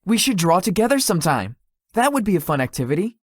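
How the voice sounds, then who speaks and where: A woman's voice: on the low side, very animated, fast talker, no room sound, clean studio sound. A woman voicing a shy, easily flustered, sweet young man in a visual novel, with a hesitant manner. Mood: friendly, gentle. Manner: hesitant, stammering when embarrassed. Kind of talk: visual novel character acting.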